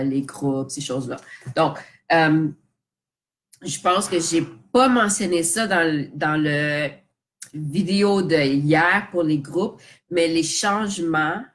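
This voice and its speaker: Low-voiced Québécois woman with a cold. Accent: Québécois French. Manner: chatty, many fillers and restarts, mixes in English words. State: has a cold. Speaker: social media coach. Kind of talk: casual live-stream chat.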